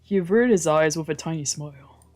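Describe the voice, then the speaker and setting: A woman reading first-person visual novel narration aloud in an expressive storytelling style. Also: low voice, fairly quick.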